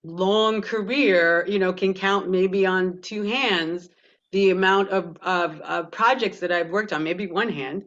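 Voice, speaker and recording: A woman's voice: lowish voice, fairly even intonation, slow pace, fairly bright tone, lo-fi sound. A woman from Toronto with a Canadian accent, speaking candidly and animatedly as an actor on a panel, often laughing as she talks. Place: virtual panel over video call.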